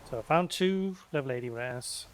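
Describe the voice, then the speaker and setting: A man's voice: medium pitch, expressive, moderate pace, a little hiss or hum. A man giving casual gameplay commentary, musing aloud to himself as a let's player.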